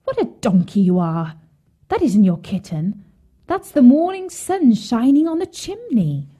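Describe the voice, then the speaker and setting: A woman's voice: medium pitch, lively intonation, a little slow, mellow, husky. A woman voicing a bossy, scolding, hard-hearted mother in a children's storybook tale. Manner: bossy, exclamatory.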